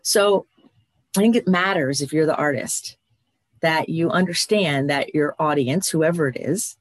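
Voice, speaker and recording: A middle-aged man giving a reflective motivational talk, measured and thoughtful, pausing between phrases. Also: a little high, dry recording. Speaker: speaker on creativity.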